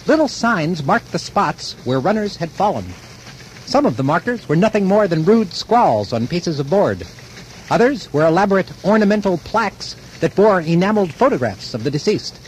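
Male radio-drama narrator, high lively voice, declamatory mock-epic storytelling, faintly noisy old broadcast.

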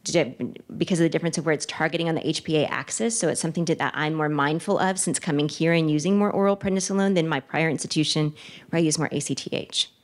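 A woman in her thirties with a low voice answers questions from the floor as a child neurologist. She speaks into a handheld mic in a conference room, thinking aloud with fillers and restarts. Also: fairly even intonation, fast. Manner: thoughtful, with many fillers and restarts; gestures with her free hand while speaking. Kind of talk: answering questions at a medical talk. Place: conference room in front of a wooden wall. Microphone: handheld microphone.

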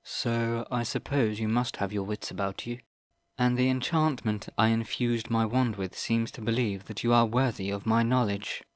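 A man reading an audiobook, voicing an ancient old wizard who speaks in a slow, deliberate and formal way. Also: medium pitch, steady tone, no echo, clean studio sound, lightly acted. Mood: measured.

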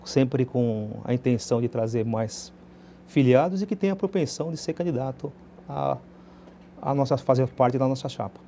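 A man's voice: medium pitch, moderate pace, mellow, a little hiss or hum. A middle-aged man with a São Paulo Brazilian accent giving casual answers as an interview guest. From Guarulhos, Brazil. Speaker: party vice-president, interview guest. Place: TV studio.

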